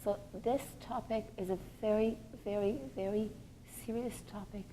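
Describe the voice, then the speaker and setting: A woman's voice: mid-range voice, steady tone, normal speed, warm tone, slight background noise, rough recording. A woman teaching a religious class to women in an earnest, didactic way, often posing rhetorical questions and stressing her points. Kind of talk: religious lecture to a group of women.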